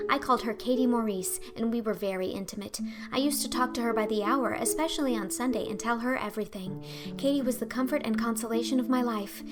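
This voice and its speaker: An earnest, imaginative orphan girl acted by a woman pours out her thoughts in a storybook reading. The voice is high and effusive, rambling along dreamily, with music playing underneath. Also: natural voice. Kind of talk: audiobook character acting.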